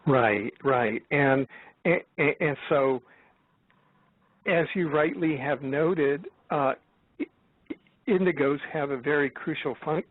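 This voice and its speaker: Older american guy around 70, rambling interview guest, kinda high husky voice, keeps restarting, tinny phone line. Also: no room sound. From United States, born in Florida. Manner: halting, restarts and repeats words. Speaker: guest, author and researcher.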